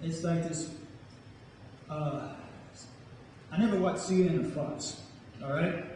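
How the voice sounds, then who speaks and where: A man speaking as a poet and storyteller to a live audience, with a deliberate, dramatic delivery. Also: on the high side, steady tone, warm tone. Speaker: spoken word poet and storyteller. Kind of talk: dramatic poetry reading and talk to a live audience. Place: large echoing room with a live audience. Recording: echoey hall, distant mic, faint noise.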